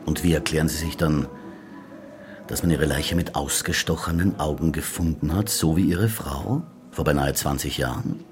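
Deep-voiced, weary police inspector. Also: male voice, normal speed, over music. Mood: stern, questioning. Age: middle-aged. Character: gruff, weary ageing male police inspector. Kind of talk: German radio play acting.

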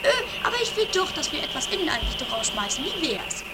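Squeaky, childlike bossy sister. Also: big pitch swings, fast talker, heavy background noise. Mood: exasperated. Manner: exclamatory, sarcastic, many blurted interjections. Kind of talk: improvised trashy comedy radio play.

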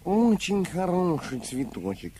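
Timid little elephant boy, childlike, halting, slow, lilting, apologetic, polite, children's audio play. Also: mid-range voice, big pitch swings, warm tone, slight background noise, poor audio. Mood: polite, hesitant. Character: timid, polite, earnest young male baby elephant.

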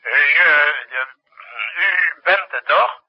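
An actor playing a genial but authoritative president, speaking in a formal, measured way in a 1960s radio drama. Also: male voice, fairly high pitch, slightly rough, exaggerated voice. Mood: formal, congratulatory. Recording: dull, muffled 1960s radio recording.

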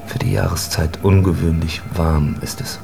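An actor playing an odd, detached stranger in a naturalistic radio drama, laconic and matter-of-fact. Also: male voice, deep voice, steady tone, moderate pace, creaky. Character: odd, detached stranger, a man waiting for a train. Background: vehicle noise and ambience.

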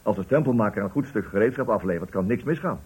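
A very raspy, lowish male voice as a factory foreman in a radio drama. He is servile and nervous, stammering deferentially, his pitch jumping about as he tries to please. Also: expressive, fast, a little mellow. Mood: earnest explaining. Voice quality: very husky, rough. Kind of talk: Dutch radio play acting.

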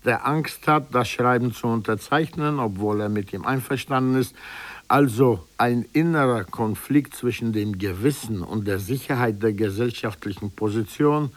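Male essay reader, medium register, animated intonation, emphatic rhetorical phrasing, dry recording. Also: mid-range voice, no echo. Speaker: literary commentator. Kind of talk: prepared essay read aloud.

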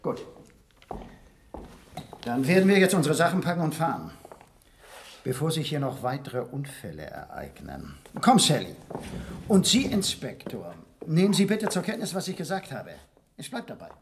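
An actor playing an indignant male guest in a radio crime play, speaking formally and accusingly with a rough voice. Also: on the high side, very animated, moderate pace, pauses often, slight background noise. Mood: curt, resolute. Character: indignant male hotel guest accusing villagers of attempted murder.

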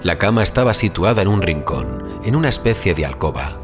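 A man narrating literary fiction for an audiobook in a measured, grave, theatrical manner. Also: low-pitched, expressive. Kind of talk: scripted dramatic literary narration. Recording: clear music bed behind narration.

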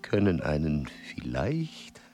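An actor in a German radio play playing a frail old explorer, earnest and obsessed with facts, speaking haltingly and pedantically in a creaky voice. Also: male voice, a bass voice, huge pitch swings, warm tone, exaggerated voice. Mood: solemn, insistent.

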